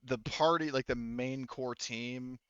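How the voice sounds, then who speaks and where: A man's voice: mid-range voice, steady tone, slow, a bit bright, clean tone, no room sound, highs cut off. A man running a tabletop game as game master, talking casually to his players in an informal, sweary, rambling way.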